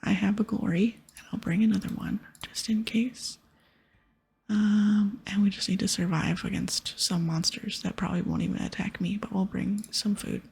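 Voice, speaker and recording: Woman whispering breathy ASMR gaming commentary. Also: medium pitch, level tone, moderate pace, darkish tone. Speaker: gaming video host.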